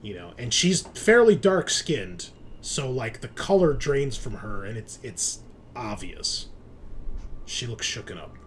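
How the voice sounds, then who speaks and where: A man's voice: medium pitch, big pitch swings, takes it slowly, slight background noise. A man narrating a tabletop horror game as game master in a casual, conversational way.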